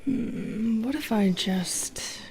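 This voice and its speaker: A sly female monster, a Medusa, acted by a woman, whispers very slowly in an intimate ASMR roleplay. The voice is fairly high and breathy with some creak, drawing out each taunt as if toying with prey. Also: a little mellow, slight background noise. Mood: playful taunting. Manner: taunting, coaxing, drawn-out delivery. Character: sly, teasing, predatory female Medusa with long nails.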